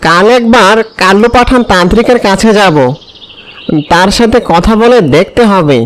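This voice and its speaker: Man voicing a high-pitched, dramatic jungle sorcerer. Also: normal speed, fairly bright tone. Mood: brooding. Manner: dramatic, exclaiming. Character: worried cartoon sorcerer of the jungle, defiant. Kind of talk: animated children's story voice acting.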